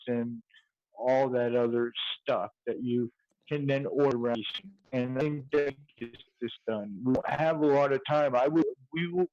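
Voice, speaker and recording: Hoarse, profane man on a muffled livestream. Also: a little high, darkish tone. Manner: rambling, profane, slangy. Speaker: activist journalist on a livestream panel. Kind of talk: casual impassioned panel chat. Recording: dull lo-fi stream audio.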